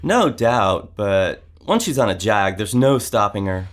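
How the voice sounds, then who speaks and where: Actor as a breezy, lively-voiced male roommate. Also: lowish voice, unhurried. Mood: teasing. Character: breezy, teasing male roommate, unfazed by ghosts. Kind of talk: audio drama dialogue.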